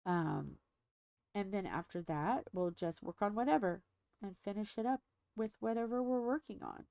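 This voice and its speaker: A woman's voice: medium pitch, normal speed, creaky, warm tone, no echo, clean studio sound. A middle-aged woman hosting a diamond painting livestream, chatting casually and rambling as she thinks aloud with many fillers, a little stuffy.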